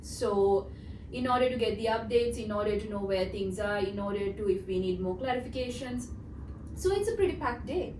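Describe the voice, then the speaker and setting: A woman's voice: mid-range voice, animated, moderate pace. A young woman from Sri Lanka, a project coordinator, chatting casually in an interview with lots of "like" and "um". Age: young adult. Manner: chatty, uses many fillers like "like" and "um". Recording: echoey room, mic at a distance, noisy.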